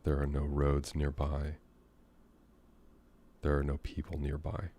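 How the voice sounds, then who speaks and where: An American man guiding a meditation in a gentle, unhurried, unscripted way, leaving long pauses between phrases. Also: very deep voice, monotone. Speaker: meditation guide. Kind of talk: guided meditation, soothing and unscripted.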